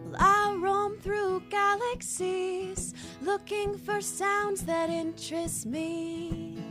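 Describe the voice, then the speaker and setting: A woman playing a sweet young space cowgirl, half singing a children's theme song with a lilting, drawn-out delivery. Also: very high-pitched, little pitch movement, exaggerated voice. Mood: dreamy, playful. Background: loud plucked guitar music.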